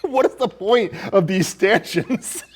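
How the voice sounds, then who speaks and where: A man's voice: sits high, lively intonation, a little slow, breathy edge. A man hosting a casual film discussion, with an incredulous, questioning way of bantering. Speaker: film podcast host.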